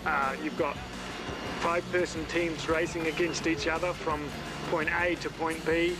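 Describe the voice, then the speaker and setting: A New Zealand man in his forties talks in an interview. His voice is fairly high, and loud music runs beneath it. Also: flat delivery, measured pace, poor audio. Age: 40s. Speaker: adventure racer. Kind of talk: interview talk. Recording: loud music under speech.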